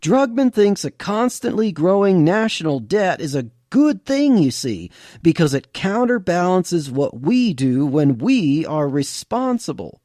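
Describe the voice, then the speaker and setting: A man voicing a calm know-it-all who explains things patiently in an audio story. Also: high voice, no echo, studio quality. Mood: explaining. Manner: explanatory, measured.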